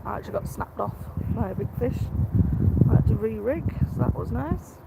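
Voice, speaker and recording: A hoarse woman, chatting quietly to viewers on a fishing live stream outdoors by a lake. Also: fairly high pitch, expressive, fairly quick, phone-quality sound, heavy background noise. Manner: speaks quietly, greets viewers. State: hoarse from a cold. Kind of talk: casual live-stream chat.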